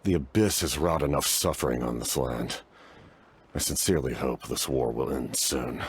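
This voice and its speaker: An actor playing a stoic, war-weary knight-commander in a fantasy game, speaking formally and gravely. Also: male voice, extremely low pitch, moderate pace, a little hiss or hum.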